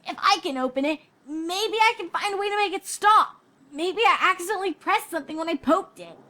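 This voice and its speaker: Bold little cartoon pony girl, really high and sharp, curious and pushy. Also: lively intonation, brisk, a little hiss or hum, full character voice. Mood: insistent, curious. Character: bold, impatient, curious young filly pony.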